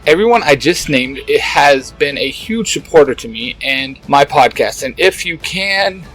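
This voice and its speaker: A man hosting a podcast, giving informal thanks and shout-outs to listeners and friends. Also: fairly high pitch, expressive, measured pace, soft background music, low-quality recording. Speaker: podcast host.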